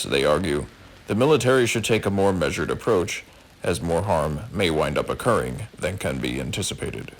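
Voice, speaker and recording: A man playing a senior TV news anchor, delivering a formal scripted broadcast in a measured way. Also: low voice, poor audio.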